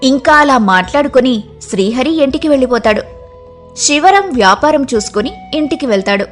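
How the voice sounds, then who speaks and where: A woman's voice: high voice, expressive, a little fast, faint music behind. A woman narrator reading a scripted moral story in a storytelling style.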